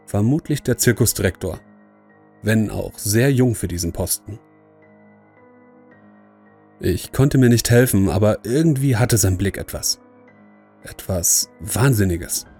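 Low, dark-voiced male horror narrator. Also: husky. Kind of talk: scripted horror story narration, first person.

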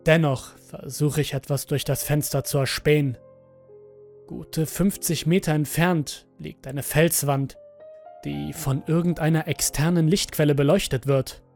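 A man narrates a first-person horror story in a deliberate, dramatic way. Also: fairly high pitch, fairly even intonation, a little mellow. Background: background music.

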